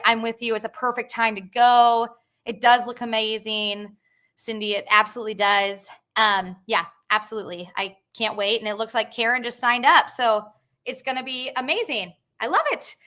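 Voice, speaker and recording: Bright, chatty woman hosting a tour stream. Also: on the high side. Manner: chatty and upbeat, frequent ums, reads viewer comments aloud. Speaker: travel company host. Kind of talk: casual live-stream tour presentation.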